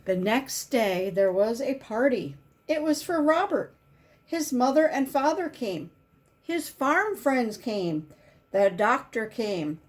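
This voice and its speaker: Female storybook reader, higher register, takes it very slowly, stresses key words for young listeners. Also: animated. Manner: simple, deliberate storytelling with emphasized key words. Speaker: volunteer children's book reader. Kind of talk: expressive picture-book read-aloud.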